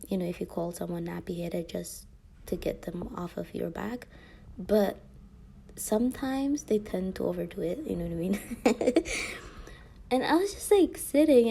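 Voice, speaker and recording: Young woman vlogger, husky and gravelly, mellow tone, rambling talk with whispered asides. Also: medium pitch, lively intonation, unhurried, slight background noise. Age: young adult. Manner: rambling, frequent fillers, drops into whispers. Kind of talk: casual monologue to camera.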